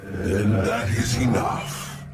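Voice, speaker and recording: An ancient alien mystic for a video game. He is solemn and portentous, drawing his phrases out slowly. Loud music runs beneath the prophecy-like delivery. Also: fairly low pitch, fairly even intonation. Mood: solemn urging. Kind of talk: dramatic video game cutscene acting. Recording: loud music over the dialogue.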